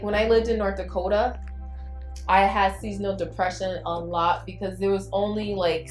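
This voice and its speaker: A woman from Liberia talks to her viewers in a casual, confessional vlog style. Her delivery is candid and reflective, at a middle pitch. The room adds noticeable echo, and music plays faintly behind her. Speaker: vlogger hosting a YouTube channel. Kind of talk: casual confessional chat to camera.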